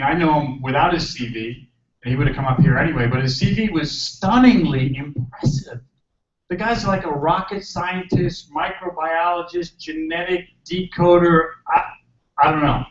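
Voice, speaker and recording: A man's voice: fairly high pitch, a little hiss or hum. A man hosting a live event, giving a jokey, hyped stage introduction to the crowd. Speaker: event host introducing a speaker. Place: event hall. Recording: echoey room, distant mic, dull sound.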